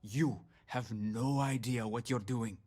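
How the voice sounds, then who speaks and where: A man's voice: mid-range voice, steady tone, slow pace, a little mellow. An actor playing a proud, bitter, middle-aged father, haughty and theatrical, in a science fiction video game. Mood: angry, indignant. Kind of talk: video game character dialogue.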